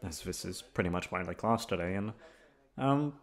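A young man playing a shy, easygoing college classmate in an ASMR roleplay, talking casually in a hesitant, halting way. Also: low voice, animated. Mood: flustered, hesitant. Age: young adult. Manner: hesitant, halting, awkward chuckles. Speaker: voice actor. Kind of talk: ASMR roleplay audio drama, casual conversational.

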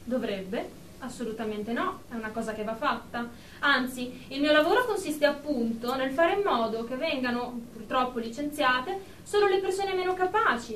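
A woman's voice: high voice, a bit bright. An actress playing a haughty, irritable businesswoman in therapy, snappish and precise, in a comic radio drama. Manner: snappish, self-important, precise. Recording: roomy, distant mic with faint noise.

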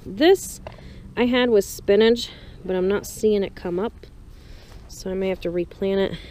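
Slow-talking woman giving a garden tour. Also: medium pitch, a little mellow. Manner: unhurried, pausing between short phrases. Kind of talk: casual narrated walk-through, chatty. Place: small greenhouse and open farm garden, outdoors. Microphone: action camera's built-in mic, worn or handheld. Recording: outdoor handheld action camera with background noise. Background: clear ambient outdoor noise.

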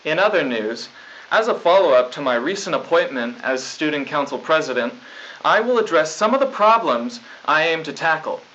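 Man acting earnest student news anchor, stiff, self-important, higher forceful voice, dull videotape sound. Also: lively intonation, normal speed. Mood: stiff, official. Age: young adult. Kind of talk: scripted mock 1990s school news broadcast. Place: small studio news desk.

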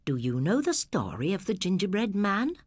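A woman voicing a kindly old English lady shopkeeper in a storybook tale, with a soft, slow, inviting manner. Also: medium pitch, big pitch swings, slightly rough, no room sound, clean studio sound.

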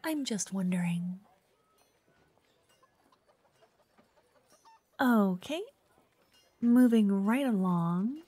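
Woman acting a soft-voiced, pushy, obsessive fangirl. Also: medium pitch, expressive, slow, breathy edge, dry recording. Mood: curious, probing. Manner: chatty, coy, insistent, slipping into whispers. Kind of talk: ASMR roleplay.

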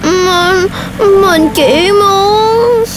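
An actor voicing a gentle, earnest, slightly whiny young rabbit in a children's cartoon, with a southern Vietnamese accent. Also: very high-pitched, drawn-out words, heavily acted. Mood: whining. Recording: loud music behind the voice.

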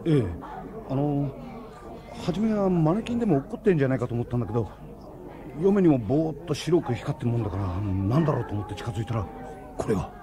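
An actor playing a baffled, talkative eyewitness in a radio drama. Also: male voice, medium pitch, expressive, quick pace, close to an everyday voice. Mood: bewildered. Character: baffled, talkative male witness. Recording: busy crowd ambience behind.

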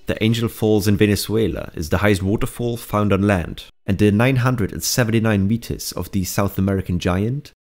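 A man reading scripted documentary-style narration for an educational explainer, in a measured, explanatory manner. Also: lowish voice, a little mellow. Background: background music.

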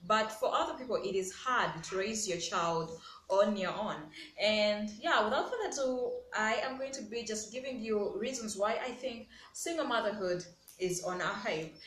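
A woman's voice: mid-range voice, fairly bright tone. Emphatic young Ugandan woman, echoey room. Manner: emphatic, repeats key words. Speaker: vlogger. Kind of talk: opinion vlog to camera. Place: small bare-walled room indoors. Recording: echoey room with background hiss.

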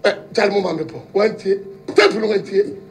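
A man's voice: sits high, normal speed, gravelly. A middle-aged Ghanaian-accented man in heated live talk show banter, exclaiming and repeating phrases for emphasis. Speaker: radio show panelist. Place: small radio studio, indoors. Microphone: studio microphone with pop filter.

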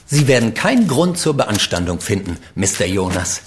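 Slick polite coin shop man, lively tone. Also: lowish voice, moderate pace, noisy background. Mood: smooth. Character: smooth, courteous male coin dealer. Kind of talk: radio play acting.

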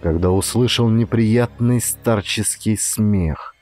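Low-voiced man narrating a thriller. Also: slow, a little mellow, dry recording. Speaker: audiobook narrator, actor. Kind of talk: dramatic scripted narration of a thriller novel. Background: background music.

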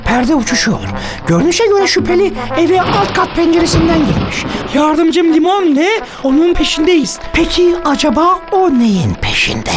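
A woman's voice: very high voice, big pitch swings, normal speed, few pauses, over music. A woman voicing a boastful, dramatic child detective in a children's cartoon, theatrical and self-important but easily scared. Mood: mock-brave, self-important. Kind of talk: animated children's cartoon acting.

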